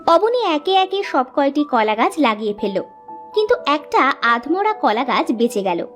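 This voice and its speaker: A woman's voice: sits high, sing-song, moderate pace, soft background music. A woman reading scripted narration for a cartoon moral story as its narrator.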